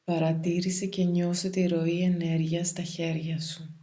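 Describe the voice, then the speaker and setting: A woman guides a meditation in a calm, soothing, measured way, leaving pauses between phrases. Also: on the low side. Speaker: yoga nidra meditation guide.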